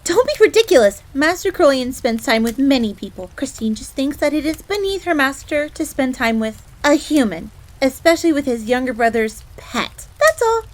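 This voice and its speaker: A woman's voice: sits high, very animated, moderate pace, few pauses. A woman playing a jealous, easily flustered fox maid in a roleplay audio drama, haughty and indignant yet self-deprecating, prone to stammering. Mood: defensive, huffy. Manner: indignant, stammering when flustered, refers to herself in third person.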